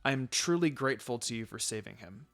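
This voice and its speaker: A man's voice: mid-range voice, expressive, fast talker, gravelly, a bit bright, soft background music. Man reading as a protective young ninja woman. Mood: grateful, soft. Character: protective young female ninja, polite but fierce.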